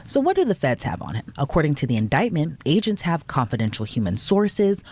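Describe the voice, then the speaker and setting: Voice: mid-range voice, very animated, fairly quick, a little mellow, slightly rough. A narrator reading a scripted news explainer with punchy, dramatic phrasing.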